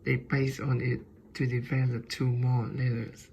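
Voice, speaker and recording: A man with a Vietnamese accent presents like a student in front of a class. His delivery is slow and hesitant, with little movement in pitch. The voice is soft-edged and mellow, recorded with slight background noise. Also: little pitch movement, creaky. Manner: halting, hesitant delivery in non-native English.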